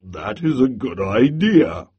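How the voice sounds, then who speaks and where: A man voicing a huge, grumpy male bear from a folk tale, growling slowly and deliberately with menace. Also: medium pitch, very animated, husky, dull audio, heavily acted. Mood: smug.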